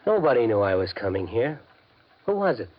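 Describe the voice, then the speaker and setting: An actor playing a hapless, anxious gambler in a radio suspense drama, halting and colloquial in his delivery. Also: fairly low pitch, sing-song. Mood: uneasy, curious. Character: desperate, hapless everyman gambler in debt. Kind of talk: 1950s radio suspense acting with narration. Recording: dull, muffled 1950s radio recording.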